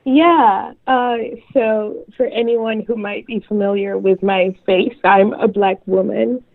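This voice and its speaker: A woman from France, French her first language, speaking as a guest writer in an interview, thoughtful and measured. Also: mid-range voice, steady tone, dry recording. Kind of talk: interview over a call. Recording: tinny phone-like line.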